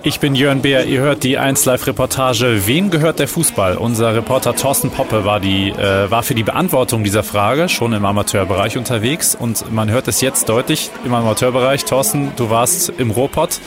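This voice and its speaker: A man's voice: mid-range voice, normal speed, few pauses. A male radio host introducing a report and asking his reporter questions. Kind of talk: radio programme hosting. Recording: music bed underneath.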